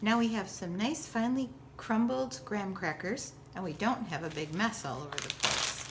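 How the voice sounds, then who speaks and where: A woman giving a step-by-step cooking demonstration like a teacher, with simple, clear directions. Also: medium pitch, moderate pace, noisy background. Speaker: school teacher presenting a recipe.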